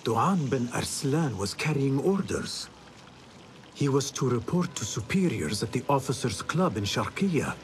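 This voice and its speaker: An actor playing a resolute, terse young assassin in a dramatic video game. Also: male voice, medium pitch, sing-song, unhurried, slight background noise. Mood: serious, intent.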